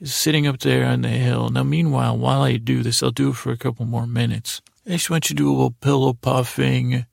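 A middle-aged man with a gravelly, creaky voice hosting a bedtime podcast, meandering and hesitant in a goofy way, often trailing off and restarting. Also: medium pitch, fairly even intonation, fairly quick, few pauses, a little mellow, no echo, studio quality. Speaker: podcast host. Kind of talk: rambling bedtime sleep podcast monologue.

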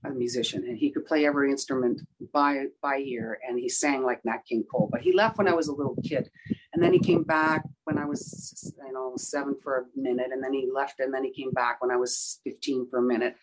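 A woman singer in her sixties with a rough voice, talking in a casual interview, halting with many fillers and restarts. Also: a bass voice, rough recording.

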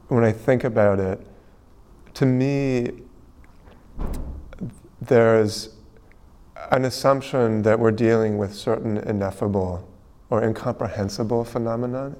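A man with a somewhat low voice hosts the interview. He builds long, ornate questions in a musing tone. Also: mellow. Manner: musing, elaborate phrasing.

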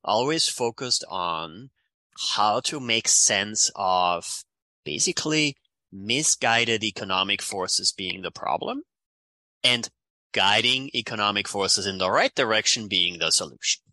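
A man's voice: on the low side, a little slow, no echo, poor audio. A man in his forties from Austria with an Austrian accent, a climate economist talking animatedly in an interview and often tagging sentences with "right?". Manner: animated, many "right?" tags. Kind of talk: conversational interview.

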